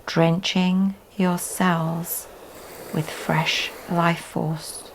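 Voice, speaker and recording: A woman teaching yoga leads a guided relaxation meditation in a soft, often breathy delivery with long pauses. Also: on the low side, flat delivery, rough recording. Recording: faint background noise.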